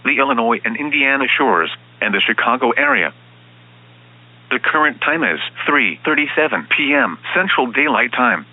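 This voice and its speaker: A man's voice: mid-range voice, phone-quality sound. A man's robotic, impersonal voice reading a formal scripted emergency and weather radio bulletin.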